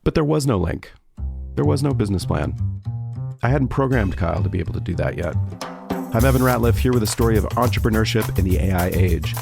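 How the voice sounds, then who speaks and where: A lowish, creaky-voiced man narrates a scripted podcast promo as its host, with clear music behind him. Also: animated, fast talker.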